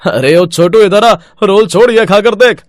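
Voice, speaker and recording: A man's voice: high voice, a little slow, dry recording, clean studio sound. A man playing a chatty, outspoken street-food customer in a moral story, with a familiar manner. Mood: delighted.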